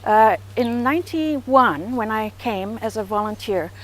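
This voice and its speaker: Mature female interviewee from Zambia, fairly high voice, measured unscripted storytelling with pauses. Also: expressive. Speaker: art volunteer being interviewed.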